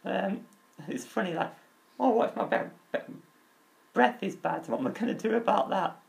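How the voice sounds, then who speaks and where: A young man with a crackly, high-pitched voice, talking openly and earnestly about himself, with halting restarts. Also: gravelly. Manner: open and earnest, halting restarts, nervous laughs. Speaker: vlogger. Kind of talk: candid personal talk.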